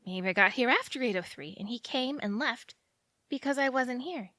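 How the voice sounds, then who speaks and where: A woman's voice: a little high, animated, moderate pace, pushed and bright, no echo, studio quality. A woman acting in audio theatre as an anxious, lovelorn young woman who rambles and questions herself fretfully. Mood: anxious reasoning.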